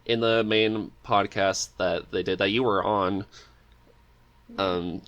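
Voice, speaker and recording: A man's voice: lowish voice, fairly even intonation, takes it slowly, a bit bright, dull audio. A man talking casually as a podcast guest, chatty and eager in manner.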